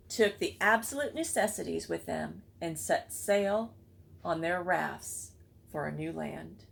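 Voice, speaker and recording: A woman's voice: on the low side, slow, creaky, long pauses. A woman reading a children's storybook aloud expressively, with chatty asides to listeners. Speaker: read-aloud book club reader.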